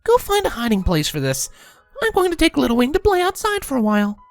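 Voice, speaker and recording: A man voicing a gentle young mother pegasus in a storybook reading, fond and lightly scolding in falsetto. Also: huge pitch swings, quick pace, dry recording, faint music behind, heavily acted. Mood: indulgent.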